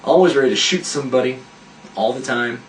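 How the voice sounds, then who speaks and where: A man's voice: mid-range voice, a little fast, distant mic, a little hiss or hum. A man who acts in a radio play, wryly describing his part in a casual interview. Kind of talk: casual behind-the-scenes interview. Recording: roomy, away from the mic.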